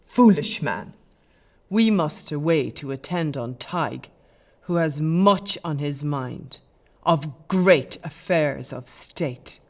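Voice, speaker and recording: A woman's voice: sits low, animated, slow, full character voice. An actress playing a chieftain's lady in an audio play, arch, playful and haughty. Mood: imperious. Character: fine Irish chieftain's lady, teasing, imperious, flirtatious.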